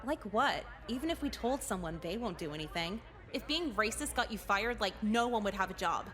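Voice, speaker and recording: An actress playing a blunt, cynical high school girl with a deadpan manner in a visual novel game. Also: brisk, crowd noise, close to an everyday voice. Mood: jaded.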